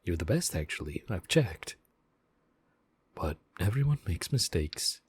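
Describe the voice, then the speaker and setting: A man voicing a gentle, devoted sentient mech AI in a slow, measured audio roleplay, speaking directly to the pilot. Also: deep voice, very animated, breathy edge, exaggerated voice. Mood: earnest, confiding. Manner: measured, deliberate, reassuring, with pauses. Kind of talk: slow scripted audio roleplay, addressing the listener.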